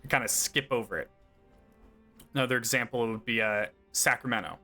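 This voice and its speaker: A man's voice: mid-range voice, brisk, faint music behind. A young man from California with a Californian accent, chatting casually as a streamer while playing, full of "like" and "um". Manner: relaxed, many "like" and "um" fillers. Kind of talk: casual chat while playing and reading game text aloud.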